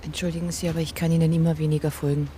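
A woman's voice: sits low, level tone, racing, dark tone, a little hiss or hum, natural voice. An actress playing a polite, questioning and incredulous woman radio presenter held hostage in a radio play. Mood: polite disbelief. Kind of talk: contemporary radio play acting.

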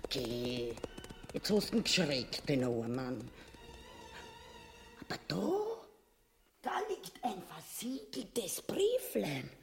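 Creaky, scornful Austrian schemer. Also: female voice, low voice, big pitch swings, slow, pauses often, full character voice. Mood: dry mockery. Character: sharp-tongued, scheming royal wife, bossy and scornful. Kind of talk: theatrical farce radio acting.

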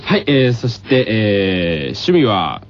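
男性がラジオの共同司会として、相手のボケに反応しながら気軽に掛け合いをしている。. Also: male voice, fairly low pitch, very animated, takes it slowly, very noisy. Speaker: radio co-host, voice actor. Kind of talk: casual comic radio banter. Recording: muffled lo-fi radio recording. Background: loud music.

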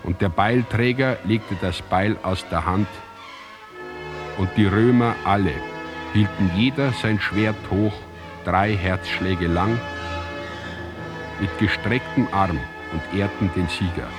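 An actor as the grave narrator of an ancient tragedy in a radio drama, reciting in a measured, solemn, declamatory way. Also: male voice, fairly low pitch. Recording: music under the voice. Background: music.

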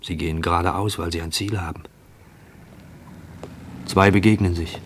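A man's voice: low-pitched, steady tone, slight background noise, lightly acted. An actor playing a fearful man shadowing a stranger, in a tense, questioning radio play monologue. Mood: anxious, watchful. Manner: tense, hushed, questioning, deliberate.

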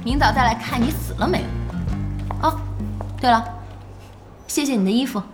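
A woman's voice: on the high side, normal speed, faint music behind, close to an everyday voice. An actress playing a feisty, blunt young woman who runs an internet cafe, brash and exclaiming, in an audio drama. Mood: indignant. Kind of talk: Chinese audio drama acting.

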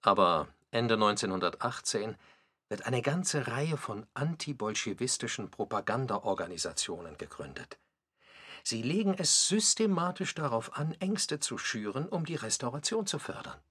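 A man's voice: medium pitch, big pitch swings, moderate pace, pushed and bright, husky. A man reading scripted documentary narration for a radio play.

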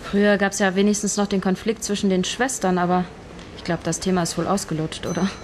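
A level-headed woman scriptwriter in a radio play, played by an actress thinks ideas through out loud. Her voice sits at a middle pitch. A faint noise of the room hangs behind her. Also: flat delivery, brisk, close to an everyday voice.

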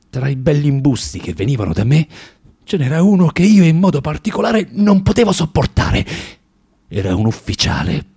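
A male actor playing a bitter, spiteful, tormented former clerk of about forty in a theatrical radio monologue, confessing with halting, emphatic delivery and dramatic pauses. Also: medium pitch, warm tone, breathy edge, dry recording, clean studio sound.

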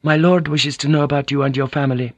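A man voicing a poised, formal noblewoman interpreter who speaks in a precise, polite, measured way. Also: fairly high pitch, steady tone, no room sound, pitched above the performer's own voice, natural voice.

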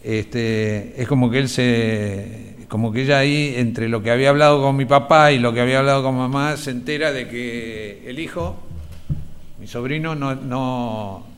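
A middle-aged man with an Argentine accent recalls events in a halting way, repeating phrases. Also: mid-range voice. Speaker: interviewee, relative of a disappeared woman. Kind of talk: spontaneous recollection. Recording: music bed under interview. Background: music.

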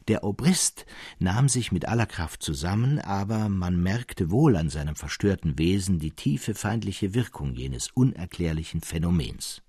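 Male narrator for gothic literature: low, slow, breathy, solemn and theatrical, with suspenseful delivery over faint music. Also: a little mellow, no room sound, studio quality.

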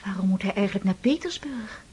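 An actress playing an emotional young woman in a radio play, pleading and dropping into soft whispers. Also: mid-range voice, expressive, quick pace, a little hiss or hum, natural voice. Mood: wistful.

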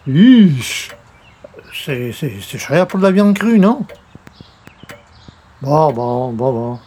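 Over a slightly noisy recording, a man plays a grumbling but playful columnist in an old-time radio comedy. He speaks slowly and theatrically, with hesitant, muttered asides and a fussy temper. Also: a little high, sing-song, mellow, exaggerated voice. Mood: flustered. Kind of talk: 1940s-style radio comedy acting.

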